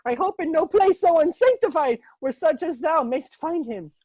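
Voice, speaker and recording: A man's voice: very high-pitched, animated, normal speed, darkish tone, dry recording, low-quality recording. A man playing an anxious young actor who takes women's parts in an audio play, nervous and theatrical. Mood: theatrical, pleading. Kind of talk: stage-style audio drama acting. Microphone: video call recording.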